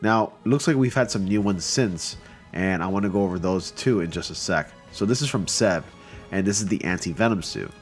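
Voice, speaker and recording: A man's voice: sits low, moderate pace, soft background music. A man with a stuffy, just-off-a-cold voice, casually and enthusiastically commenting on fan photo submissions with lots of fillers. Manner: chatty and enthusiastic, with many fillers.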